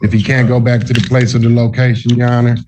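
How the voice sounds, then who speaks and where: A man's voice: medium pitch, little pitch movement, quick pace, background noise. A man arguing in court as a defense attorney, deferential and repeating his phrases as he goes. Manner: deferential, repetitive. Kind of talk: courtroom argument.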